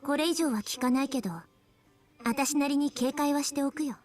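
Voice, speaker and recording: A frank young female soldier from an anime game, played by an actress. She speaks briskly and directly in a high voice, watchful in tone, with music behind. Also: steady tone, a little mellow, close to an everyday voice. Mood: probing, wary. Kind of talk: anime visual novel voice acting.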